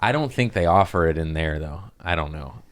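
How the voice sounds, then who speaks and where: Low-voiced man chatting casually on a podcast. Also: lively intonation, brisk. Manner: slangy, swearing. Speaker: podcast host. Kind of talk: casual comedic chat.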